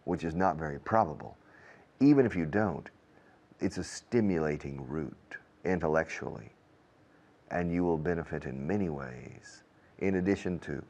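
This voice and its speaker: A man, a native English speaker, teaching in an explanatory monologue with a deliberate, emphatic manner that repeats key words. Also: extremely low pitch, a little mellow. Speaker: language teacher and programme host.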